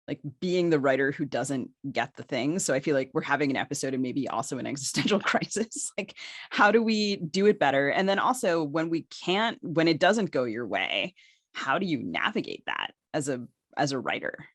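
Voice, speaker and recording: A woman's voice: low voice, lively intonation, moderate pace, dry recording. A woman speaks as a podcast co-host and interviewer in a very dry, close recording. She talks conversationally and thinks aloud, letting her questions grow long and discursive. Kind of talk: conversational literary panel discussion.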